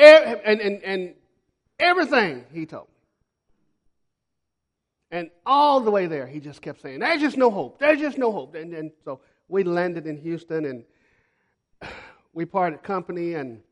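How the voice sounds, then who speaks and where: A man with a high-pitched voice preaches to a church congregation. He is a missionary who tells folksy stories and repeats phrases for emphasis. His delivery swings from loud to hushed. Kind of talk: sermon with storytelling.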